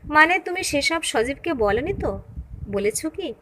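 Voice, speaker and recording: A woman's voice: high-pitched, sing-song, a little slow, a little hiss or hum, exaggerated voice. A woman voicing an older mother-in-law in an audio story, wary, uneasy and hesitant. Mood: anxious, soft. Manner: soft-spoken, hesitant.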